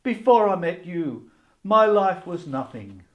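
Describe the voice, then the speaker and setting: A man's voice: high voice, big pitch swings, a little slow, a bit bright, heavily acted. A man playing a jaded, sardonic older radio actor in a comedy about a long-running serial. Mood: melodramatic, romantic.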